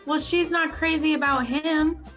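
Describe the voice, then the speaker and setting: A woman plays a loving, meddling middle-aged mother in 1940s radio drama style. Her voice is high, with a coaxing, knowing manner and music underneath. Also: steady tone, measured pace, a bit bright.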